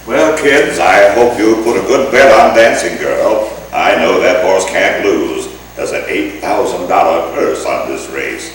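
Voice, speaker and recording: Man playing a deep-voiced blustery boss, echoey hall. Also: animated, unhurried, hardly any pauses. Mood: confident. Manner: pompous, sarcastic, blustering. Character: blustery, exasperated male boss and racehorse owner. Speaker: radio play actor. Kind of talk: old-time radio comedy acting. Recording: very echoey hall, distant, faint noise.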